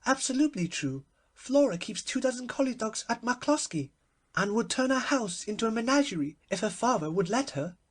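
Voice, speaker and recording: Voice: mid-range voice, sing-song, normal speed, breathy edge, pitched above the performer's own voice. A performer voicing a stately, complacent Duchess with a prim, emphatic manner in a storybook.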